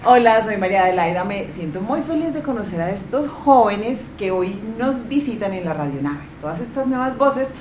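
A woman's voice: medium pitch, expressive, moderate pace, few pauses, darkish tone, echoey room, slight background noise. A woman hosting a radio talk show, upbeat and welcoming as she interviews guests. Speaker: radio host. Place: radio studio.

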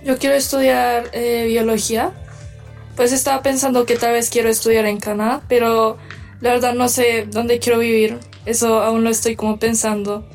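A woman's voice: a little high, flat delivery, moderate pace, vocal fry, a little mellow, faint music behind. A teenage girl answering interview questions casually, sounding a little unsure. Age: teens. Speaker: student being interviewed.

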